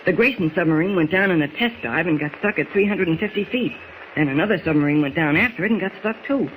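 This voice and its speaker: A man's voice: high-pitched, animated, fast, phone-quality sound. An actor playing an eager, earnest copy boy, wide-eyed and gushing, in 1940s radio acting. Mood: eager, puzzled. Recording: noisy 1940s radio recording.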